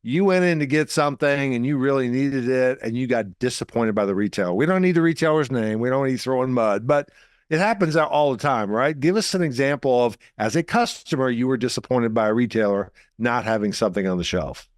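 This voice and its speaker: Chatty excited guy hosting a show, middle pitch, dry close sound. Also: brisk, no echo. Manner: enthusiastic, chatty, repeats words. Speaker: show host. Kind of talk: casual interview hosting.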